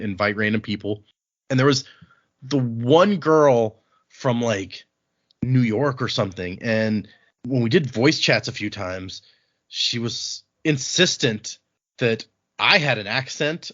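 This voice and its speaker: Man, podcast host from Texas, mid-pitched, casual delivery, slightly dull recording. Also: fairly bright tone. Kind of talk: casual podcast chat and reading listener mail.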